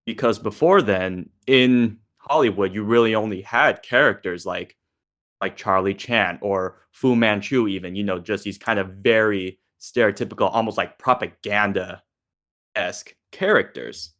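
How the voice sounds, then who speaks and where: Lower-pitched man in casual discussion. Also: measured pace, a bit bright. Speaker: panelist.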